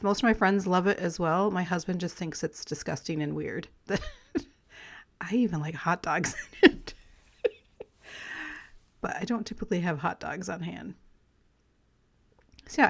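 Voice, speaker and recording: A woman with a slightly raspy voice, still clearing her throat after being ill, chatting casually and rambling as she talks through a crafting project. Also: mid-range voice. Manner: relaxed, rambling, friendly, with light laughs. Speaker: crafting vlogger. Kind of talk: casual chatty voice-over while collaging.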